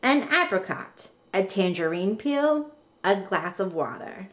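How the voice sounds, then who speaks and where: Lively woman reading a picture book slowly. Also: medium pitch. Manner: animated, deliberate, dramatic emphasis and pauses. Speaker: storybook reader. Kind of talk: expressive children's picture book read-aloud.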